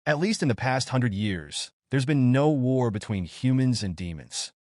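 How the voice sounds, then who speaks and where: A man's voice: mid-range voice, animated, unhurried, darkish tone, no room sound, natural voice. Guy playing tired brooding young mage in a manga story, restrained, dry sound. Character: composed, weary young male amber-eyed mage.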